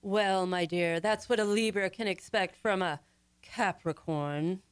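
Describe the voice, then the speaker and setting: A woman's voice: mid-range voice, a little slow, clean tone, no echo, close to an everyday voice. A woman playing a self-assured, bossy, meddling matron with lofty, condescending emphasis in an old-time radio comedy. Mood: lofty, reassuring.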